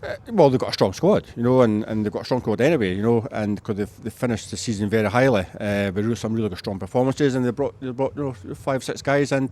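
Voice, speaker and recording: A man's voice: medium pitch, quick pace, few pauses, a little mellow. A man speaking as a rugby head coach in a casual pre-match interview.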